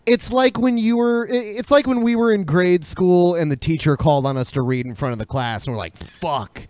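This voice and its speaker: A man's voice: sits high, normal speed, a little mellow, no echo. An American man chatting casually as a podcast co-host. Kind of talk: casual chat.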